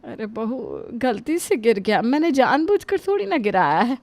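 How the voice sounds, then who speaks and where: A woman's voice: fairly high pitch, very animated, moderate pace, gravelly, darkish tone, no room sound. A woman playing a complaining old mother-in-law. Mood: pleading. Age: older. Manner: wounded, scolding, sighing to God. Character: aging mother-in-law, put-upon and complaining. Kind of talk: Hindi family drama voice acting.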